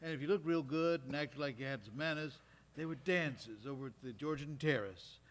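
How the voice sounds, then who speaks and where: A man's voice: a little high, a little fast, natural voice. An actor playing a Georgia World War II veteran, telling his memories in a folksy, wry, conversational way on stage. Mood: reminiscing, wry. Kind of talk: live stage storytelling monologue, conversational. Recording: live stage recording in recreation hall.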